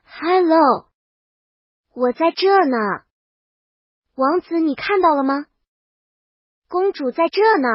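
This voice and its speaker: A woman's voice: very high voice, takes it slowly, pauses often, dry recording, muffled recording. A woman voicing a vain, bossy young princess with theatrical, indignant delivery in a comic audio story.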